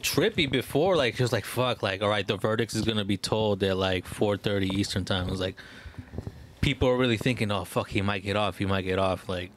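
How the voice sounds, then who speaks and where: A man's voice: lowish voice, a little fast. A man co-hosting a podcast in a casual, slangy, profane group chat. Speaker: podcast co-host. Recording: noticeable background noise and room ambience.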